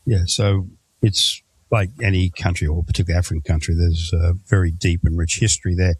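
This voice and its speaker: A man's voice: low voice, level tone, a little fast, slightly rough, rough recording. A man hosting a podcast, chatting casually and asking his guests questions. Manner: conversational, asks questions. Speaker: podcast host. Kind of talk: casual interview chat.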